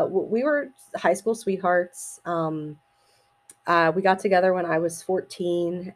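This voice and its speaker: A middle-aged woman with a slightly raspy voice hosts a personal podcast, speaking casually in a hesitant, reflective, halting way. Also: on the low side, a little mellow. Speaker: podcast host.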